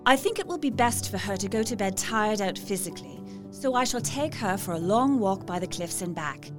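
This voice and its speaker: A woman's voice: medium pitch, expressive, brisk, music in the background, natural voice. A woman playing an earnest, anxious young Victorian lady reading out her diary in an audio drama, genteel in manner. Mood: tender, concerned.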